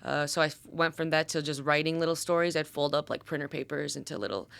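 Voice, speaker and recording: Female podcast guest, twenties, American, smooth clear voice in a dry recording, casual rambling storyteller. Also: low-pitched, fast talker, dry recording. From Detroit, Michigan, USA. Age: 20s. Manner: informal, rambling, animated storytelling with quoted speech. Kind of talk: casual chat.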